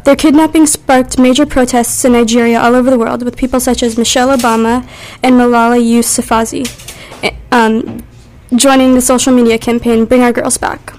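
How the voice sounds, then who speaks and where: Woman reading radio news off a script, highish voice, pretty monotone, careful but trips a little. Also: a little fast, poor audio. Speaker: newsreader.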